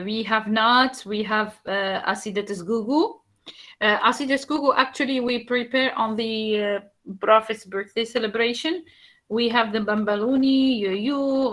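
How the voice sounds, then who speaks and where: A woman's voice: fairly high pitch, bright, forceful tone. A woman from Tunisia with a Tunisian accent, a native Arabic speaker, giving a slide presentation in a hesitant way, with many fillers and restarts.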